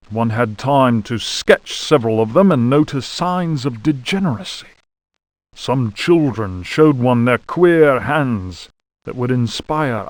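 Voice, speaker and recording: A man's voice: medium pitch, a little mellow, no echo, close to an everyday voice. Man playing a pompous aristocratic explorer, dry sound. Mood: condescending, skeptical. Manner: pompous, mannered, formal. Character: pompous, fastidious aristocratic male explorer of the 1820s. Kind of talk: dramatic travel journal reading in a horror game.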